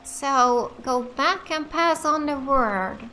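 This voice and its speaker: A woman's voice: high voice, slow pace, pushed and bright, rough recording. A woman giving casual reaction commentary as a let's play streamer. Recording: faint game music behind.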